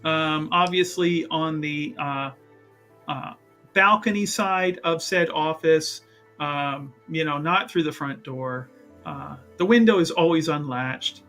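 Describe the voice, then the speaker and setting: A man with a bright, slightly high voice narrates his part in a tabletop roleplaying game. He talks casually and makes it up as he goes, halting and repeating words in bursts. There is music under his voice.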